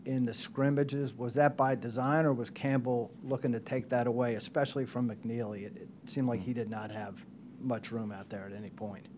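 Male reporter, mid pitch, probing, faint hiss off mic. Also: steady tone, normal speed, a little mellow.

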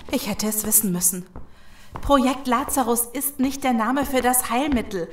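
An actress playing a shaken, knowing woman in a horror audio drama, speaking gravely and deliberately. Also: a little high, lightly acted. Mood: dread. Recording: roomy echo, faint background noise.

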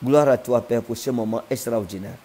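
Brief: thirties male preacher, fervent slow prayer and repetitive emphatic sermon, earphone mic, home living room. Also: mid-range voice, dark tone. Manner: fervent, slow incantatory praying in tongues. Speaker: prophet. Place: living room with a sofa, indoors. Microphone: wired earphone mic.

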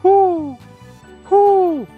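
A man's voice: extremely high pitch, extremely slow, phone-quality sound, soft background music, pitched above the performer's own voice, exaggerated voice. A man voicing a curious owl in a children's storybook, hooting and drawing out its questions.

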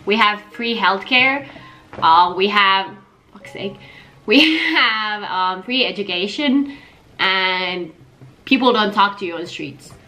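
A woman's voice: mid-range voice, expressive, takes it slowly, sharp tone, rough recording. A woman in her twenties from Finland, speaking with a Finnish accent and hosting a casual question-and-answer chat. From Helsinki, Finland. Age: 20s. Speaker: vlog host. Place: small home kitchen, indoors. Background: music.

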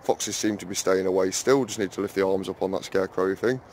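Low-voiced man, rambling vlogger, chatty, filler-heavy, faint outdoor hum behind. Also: steady tone, fast, a little mellow. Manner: rambling, chatty, many fillers, keeps calling viewers guys. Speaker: allotment vlogger. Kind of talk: casual walk-and-talk vlog. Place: outdoor allotment, then small garden shed.

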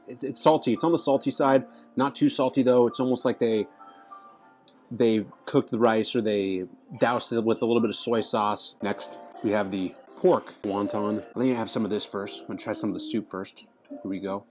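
Man eating and reviewing, low voice. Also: steady tone, normal speed, darkish tone. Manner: enthusiastic, restarts phrases while tasting. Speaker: food vlogger. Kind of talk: casual food review to camera. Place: indoor restaurant dining room. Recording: slightly dull sound, faint music behind.

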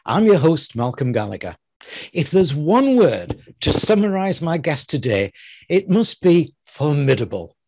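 Older man, interview host, lively swooping pitch, higher male voice, playful emphasis, headset mic. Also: unhurried, slightly rough, dry recording. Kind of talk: interview intro and questions.

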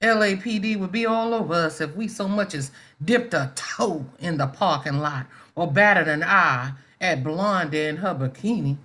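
A woman's voice: fairly low pitch, normal speed, hardly any pauses, fairly bright tone, lightly acted. Woman acting a pointed middle-aged schoolteacher. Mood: conversational. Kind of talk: staged play reading over video call. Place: indoors, on a video call. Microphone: video call microphone.

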